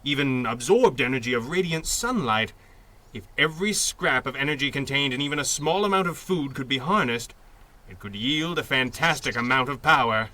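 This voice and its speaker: A bright, crisp-edged voice at a middling pitch. It is a principled, compassionate masked hero in an old-style radio adventure, reasoning aloud with earnest, articulate calm. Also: male voice, normal speed. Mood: thoughtful, earnest. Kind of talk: 1930s-set radio drama acting.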